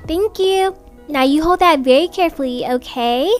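A woman's voice: high voice, normal speed, soft background music, natural voice. A woman playing an upbeat, chatty young mother in an improvised family roleplay, casual, reassuring and gushing. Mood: friendly, organised.

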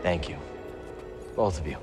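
A man's voice: low voice, unhurried. A young man playing an earnest, understated, slightly weary Jedi in a video game. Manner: plain, understated. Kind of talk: video game cutscene acting. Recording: reverberant game scene with music.

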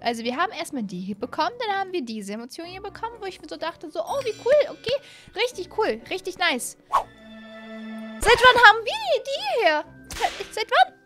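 A young woman hosting a gaming video, giving casual, animated and excitable commentary into a close desk microphone. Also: very high-pitched. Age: young adult. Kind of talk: casual let's-play commentary. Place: small indoor streaming room. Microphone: close desk microphone on an arm. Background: faint music.

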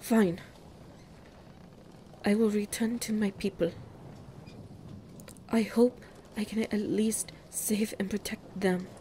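A woman's voice: medium pitch, fairly even intonation, slow, long pauses, warm tone, slight background noise, close to an everyday voice. A woman playing a grave, mystical female ruler in an audio roleplay, speaking formally and breathily as she pleads for her people. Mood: resigned.

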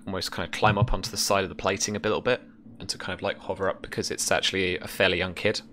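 A young man working as game master, narrating a tabletop scene in a casual, halting, descriptive way. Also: sits low, level tone, sharp tone, slight background noise. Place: indoor room, remote session. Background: music.

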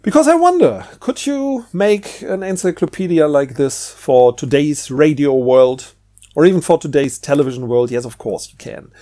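Mellow middle-aged man, rambling thoughtfully. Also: fairly high pitch, expressive, moderate pace. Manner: hesitant, rambling, repeats words while thinking aloud. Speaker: book reviewer. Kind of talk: casual unscripted musing over books.

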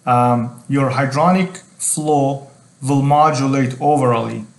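A middle-aged man gives a technical tutorial in a mid-pitched voice. His delivery is halting, with phrases often repeated as he explains. The recording is roomy and echoing, as if made at a computer in a small room. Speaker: tutorial instructor.

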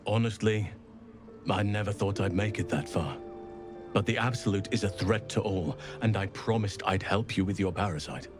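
An actor playing a solemn, gentle middle-aged elven archdruid in a fantasy game, speaking in a measured, earnest, formal way. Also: male voice, fairly low pitch, over music, natural voice. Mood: solemn, regretful. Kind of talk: scripted fantasy game dialogue.

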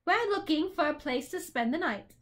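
A woman's voice: sits high, lively intonation, normal speed, fairly bright tone. A woman voicing a timid, polite young boy in a storybook, hesitant and pleading.

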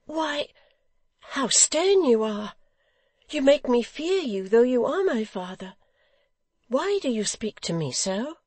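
A woman voicing an eager, simple-minded young man with childlike trust in an audiobook, in an excitable, wondering singsong. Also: medium pitch, slow, no echo, voice pushed up. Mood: hurt, timid. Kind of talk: audiobook character acting.

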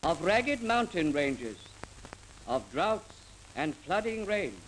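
Middle-aged male radio teacher: slow, deliberate elocution, hissy 1940s soundtrack. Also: a little high, very animated, a bit bright, poor audio. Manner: deliberate, formal, careful diction. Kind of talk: reciting poetry for a speech lesson. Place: small radio studio. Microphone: desk microphone.

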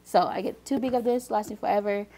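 A woman in her thirties from Haiti, co-hosting a casual talk show from a studio sofa, talking in a chatty, animated way with lots of "like". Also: medium pitch, fast talker. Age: 30s. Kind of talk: casual chat. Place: TV studio set, seated on sofa.

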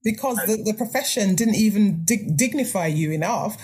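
A woman's voice: medium pitch, animated, moderate pace, darkish tone, husky. An older woman, a podcast guest, talking earnestly and emphatically with frequent restarts. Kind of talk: conversational interview.

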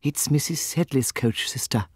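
A man's voice: medium pitch, moderate pace, darkish tone, breathy edge, dry recording, clean studio sound, voice pushed up, lightly acted. A man voicing a timid, anxious, eager-to-please spinster in an audiobook. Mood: fluttery. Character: timid, fluttery, anxious spinster younger sister. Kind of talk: audiobook character acting.

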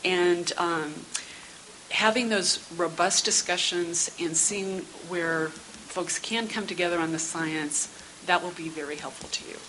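A middle-aged woman giving prepared comments at a public council meeting in a measured, businesslike, formal but conversational way. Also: fairly low pitch, sharp tone, poor audio. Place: indoor meeting room. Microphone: gooseneck table microphone. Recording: hissy meeting-room sound.

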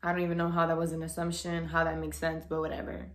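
A woman's voice: low-pitched, fast talker, slight background noise. A young woman in her twenties talking casually and bluntly to camera as a vlogger, in a bedroom. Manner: blunt, outspoken, animated with hands. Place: bedroom, indoors.

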